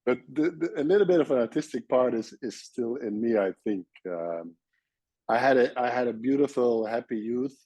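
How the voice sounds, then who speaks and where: A man's voice: mid-range voice, animated, moderate pace, warm tone, breathy edge, no echo. A Dutch-accented man from the Netherlands, a surgeon guest in a casual interview, speaking friendly English with frequent restarts.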